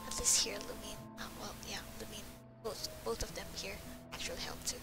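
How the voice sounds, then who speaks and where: A woman's voice: mid-range voice, little pitch movement, brisk, whispered. A woman giving casual commentary while she plays a game, rambling and searching for her words. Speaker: gamer playing through a story quest. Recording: loud game music behind. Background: video game music.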